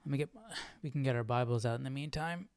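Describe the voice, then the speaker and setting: A man hosting a live Bible study in a casual, halting, conversational way, often checking in with listeners as he explains. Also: mid-range voice, a little mellow, clear, resonant tone, no room sound. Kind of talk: casual livestream Bible teaching.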